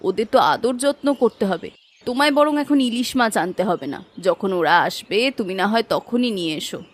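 A woman voicing a caring, gently scolding village housewife in a cartoon fairy tale, homely and coaxing. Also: on the high side, brisk, lightly acted. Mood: affectionate.

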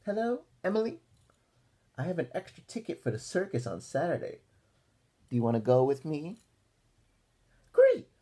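Man putting on a whiny, high-pitched little girl. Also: very animated, dark tone, slightly rough. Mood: smug.

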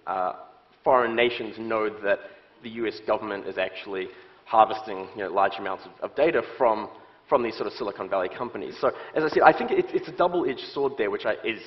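A man in his thirties with a New Zealand accent, a security expert answering interview questions on a stage, often restarting his sentences. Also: lowish voice. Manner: halting, many restarts and fillers. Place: stage set with audience, indoors. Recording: muffled stage audio.

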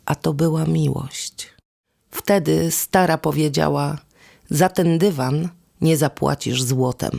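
A woman narrating a folk tale as a storyteller, with measured, deliberate phrasing and instrumental music behind her. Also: sits low, gravelly, dark tone. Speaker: storyteller and host of a podcast series.

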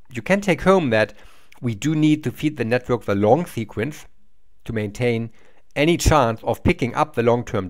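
Man, middle-aged, university lecture, lively pitch, explanatory, small room. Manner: explanatory, repeats and restarts words. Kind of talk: recorded lecture over slides.

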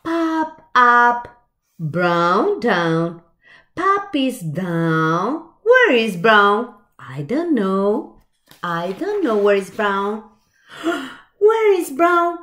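Storytime reader: middle-aged woman, high-pitched, very animated delivery of a picture book. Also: drawn-out words. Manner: expressive, with asides and questions to the listeners. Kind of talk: reading a picture book aloud to young children. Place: small indoor room with shelves.

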